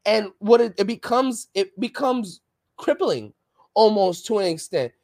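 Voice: mid-range voice, lively intonation, a little slow, a little mellow, dry recording, studio quality. A podcast co-host in casual political chat, talking with passion, ranting and swearing often.

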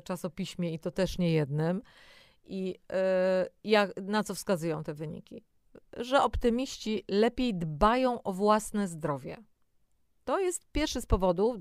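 A woman's voice: mid-range voice, a little mellow, clear, resonant tone, dry recording, studio quality. A woman co-presenting educational health material, explaining informally and speaking directly to the listener between scripted passages. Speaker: co-presenter of a health audio programme. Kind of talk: scripted educational narration with conversational asides.

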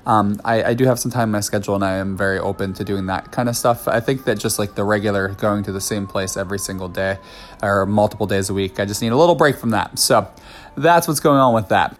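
A man hosting his own podcast, talking casually and candidly while he has a cold, with lots of fillers and quick asides. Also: lowish voice, slight background noise. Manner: chatty, candid, many fillers and self-asides. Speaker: podcast host. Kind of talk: casual solo podcast talk.

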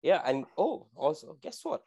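A man's voice: on the high side, sing-song, moderate pace, darkish tone, slightly rough. Casual male podcast co-host in his twenties, rambling and slangy. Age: 20s. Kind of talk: casual chat.

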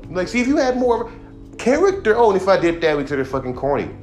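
A man's voice: high-pitched, fast talker, faint music behind. Blunt, swearing man ranting on a podcast. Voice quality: monotone voice. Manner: blunt, heated, heavy swearing. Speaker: podcast host and rapper. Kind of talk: profane casual rant.

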